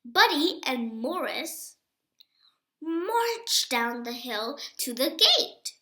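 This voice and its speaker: Female storybook reader: bright, unhurried, expressive and emphatic phrasing, echoey home recording. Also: high voice. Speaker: reader of a children's book. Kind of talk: reading a storybook aloud.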